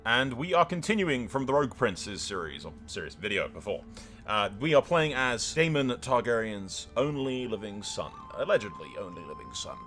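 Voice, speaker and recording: A man hosting a game roleplay series, telling the story as he plays in an excitable, dramatic way. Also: mid-range voice, moderate pace, faint music behind. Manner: excitable, dramatic, mild swearing. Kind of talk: lively game playthrough commentary and storytelling.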